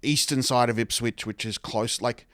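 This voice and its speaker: A young Australian man rambling through a relaxed podcast chat, full of hesitations and easy laughter. His voice sits in a middling range, recorded close and dry with no room echo. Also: mid-range voice, moderate pace. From New Zealand. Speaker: podcast guest. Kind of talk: casual chat.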